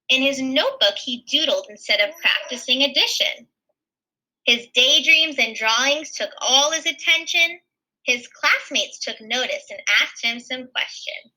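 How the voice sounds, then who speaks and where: Bright, animated woman reading a storybook. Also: high-pitched, low-quality recording. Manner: expressive, explaining pictures simply. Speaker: teacher reading a picture book aloud. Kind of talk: animated children's read-aloud with asides.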